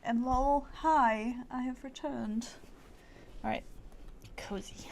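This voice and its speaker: A woman with a fairly high voice chats casually over a game she is streaming. She is unhurried and playful, dropping cutesy word play into short reactions. Faint noise and game ambience sit behind her. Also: fairly even intonation. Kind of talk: casual live gameplay commentary.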